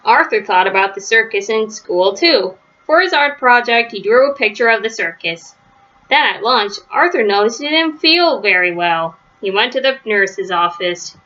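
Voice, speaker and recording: A woman narrates a children's picture book aloud. Her voice is fairly high and very bright. The recording sounds a little dull and lo-fi. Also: expressive, moderate pace. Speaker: storybook reader.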